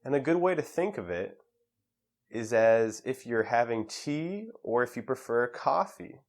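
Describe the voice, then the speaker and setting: A man leading a guided meditation in a calm, measured way with pauses between phrases. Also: medium pitch.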